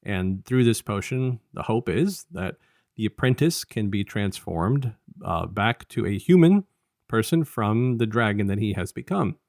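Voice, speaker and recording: A man co-hosting a casual review podcast, talking in a halting, rambling way and often restarting his sentences. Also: fairly low pitch, big pitch swings, creaky, a little mellow, dry recording, clean studio sound. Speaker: podcast co-host.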